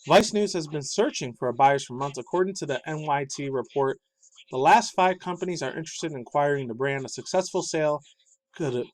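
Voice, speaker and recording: A man in his thirties ranting about pop culture news, loud, profane and heated, shifting between yelling and low muttering, with a slightly raspy voice. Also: fairly quick, poor audio. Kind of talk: angry ranting commentary.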